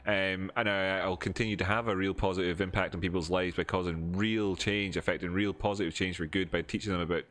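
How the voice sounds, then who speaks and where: Low-voiced Edinburgh Scottish man streaming. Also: fairly bright tone. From Scotland. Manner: rambling, many fillers and restarts, answering chat. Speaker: crypto streamer. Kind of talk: casual live-stream chat and chart teaching.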